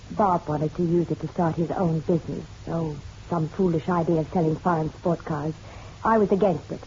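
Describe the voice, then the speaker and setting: A woman's voice: lowish voice, mellow, close to an everyday voice. An actress playing a genteel, proud middle-aged mother in a radio drama, poised and arch with a bitter edge. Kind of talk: noir radio drama acting. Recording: dull, slightly noisy radio-drama recording.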